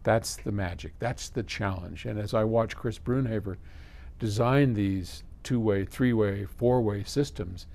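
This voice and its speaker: Older male, 70s, American. Lowish, fry-laden voice; conversational explainer with informal asides. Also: darkish tone. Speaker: audio company presenter answering viewer questions. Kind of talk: casual explainer to camera. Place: small indoor electronics workshop.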